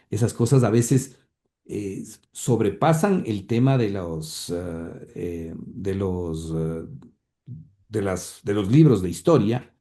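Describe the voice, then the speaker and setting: A man's voice: deep voice, warm tone. A man from Ecuador, recounting his grandfather's life as an interview guest, hesitant with many fillers and restarts. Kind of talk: conversational storytelling.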